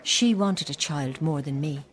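Older irish mam in a radio play, lowish voice, reflective, candid, bit halting. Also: female voice. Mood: subdued, regretful. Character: older Irish mother, candid, regretful, recalling her youth. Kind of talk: naturalistic radio drama acting.

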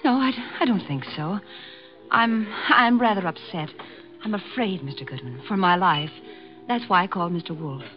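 Seductive society hostess, low voice, anxious, hesitant, vintage radio play, dull recording. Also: female voice, sing-song, quick pace, hardly any pauses, faint music behind. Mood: nervous, upset. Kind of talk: old-time radio drama acting. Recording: muffled vintage radio broadcast.

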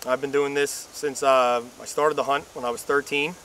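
A young man in a casual outdoor interview, speaking haltingly and repeating words as he goes. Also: mid-range voice, flat delivery. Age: young adult. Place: open field outdoors. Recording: faint outdoor background noise.

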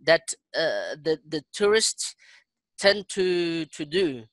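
A middle-aged man with a Chilean accent and Spanish as his native language, chatting in halting English and repeating phrases as he searches for words. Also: a little high, animated, a bit bright, no echo. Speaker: English learner. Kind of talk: casual conversation practice. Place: indoor room on a video call. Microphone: headset.

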